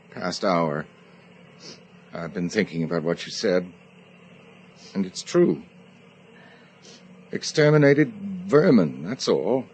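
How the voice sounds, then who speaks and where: A man's voice: low voice, a little mellow, slightly rough, exaggerated voice. An actor in a radio drama playing a guilty, domineering man with an intense, stammering manner. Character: guilty, agitated man hiding a killing, domineering yet pleading. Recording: radio drama recording with noisy ambience.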